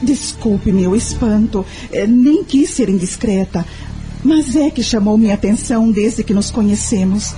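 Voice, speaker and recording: An actress with a Brazilian accent playing a kind young mother in an audio drama, with a soft, sincere manner. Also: medium pitch, a little slow, natural voice. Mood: curious, shocked. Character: kind, grateful young mother, curious friend. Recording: music behind the voice.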